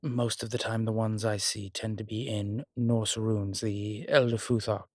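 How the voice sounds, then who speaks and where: A man playing a strong, scholarly demon in a horror roleplay audio, explaining things in a deliberate, halting, pedantic way. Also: on the low side, little pitch movement, a little mellow, dry recording, studio quality. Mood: scholarly, puzzled. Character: strong, scholarly male demon, exasperated occult lecturer. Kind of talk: immersive one-sided roleplay audio drama.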